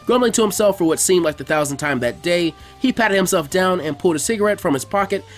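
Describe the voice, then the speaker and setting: A man reading a scripted story aloud as a narrator, in an animated way. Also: sits high, fast, faint music behind. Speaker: fan fiction reader.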